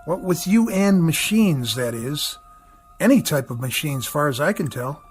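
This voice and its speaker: A man's voice: fairly high pitch, lively intonation, measured pace, soft background music. An actor playing a casual, nosy TV repairman with an informal, offhand way of talking in a radio drama. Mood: offhand, curious. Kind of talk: dramatic radio-play acting.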